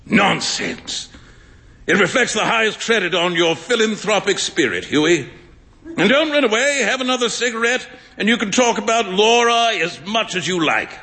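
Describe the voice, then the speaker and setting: An actor playing a well-off painter who gently mocks his friend, in a live dramatic reading. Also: high voice, animated, moderate pace, pitched above the performer's own voice, heavily acted. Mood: amused. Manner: jovial, mocking. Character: teasing well-off male painter, amused and breezy. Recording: long stage reverb in a hall.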